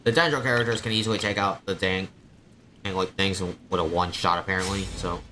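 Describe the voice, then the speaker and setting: A man's voice: deep voice, steady tone, fairly quick, background noise. A man streaming a video game, casually muttering and thinking aloud as he plays. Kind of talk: casual gameplay commentary. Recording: game music behind the voice. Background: video game music.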